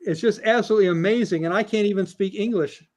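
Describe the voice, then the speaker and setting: Guy with a pretty high voice, chatty, jokes about himself on a video call. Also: quick pace, darkish tone. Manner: self-deprecating, chatty. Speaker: guest presenter.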